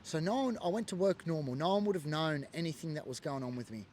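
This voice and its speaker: A man's voice: on the high side, fast, darkish tone, a little hiss or hum. Earnest Australian man telling his story. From Sydney, Australia. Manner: earnest, emotional, rambling with many fillers. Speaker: former biker sharing his story. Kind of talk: personal testimony monologue.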